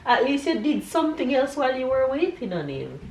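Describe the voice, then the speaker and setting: Stuffy Jamaican mom vlogging. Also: female voice, high-pitched, big pitch swings, a little fast. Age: 30s. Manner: chatty, motherly, talks to kids and camera. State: stuffy nose. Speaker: family vlogger. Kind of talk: casual family vlog. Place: home kitchen. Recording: echoey home room with noise.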